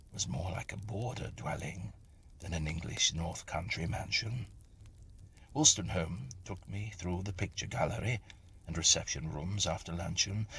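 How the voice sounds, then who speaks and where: A low-voiced man narrates a Victorian ghost story. His delivery is deliberate and atmospheric, with wide swings in pitch. The voice is gravelly and creaky at the edges. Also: a bit bright.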